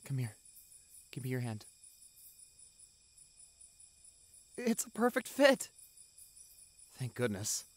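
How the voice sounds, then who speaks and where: A devoted young boyfriend played by a man in a romantic audio drama. He takes his time over every phrase. His delivery is soft, earnest and full of affection. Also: mid-range voice, no room sound. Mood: affectionate, relieved. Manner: soft, tender, earnest, unhurried. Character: tender, devoted young doctor boyfriend proposing to partner.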